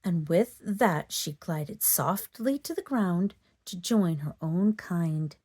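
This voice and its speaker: A woman storyteller narrating a children's book in an expressive, dramatic read-aloud manner. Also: fairly low pitch, takes it slowly. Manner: dramatic, animated delivery for children.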